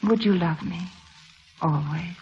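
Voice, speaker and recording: An actress playing a wistful, passionate young woman in a 1940s radio drama, dramatic and hushed at phrase ends. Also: lowish voice, unhurried, slight background noise, lightly acted. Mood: earnest, tender. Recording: dull old radio broadcast, music behind.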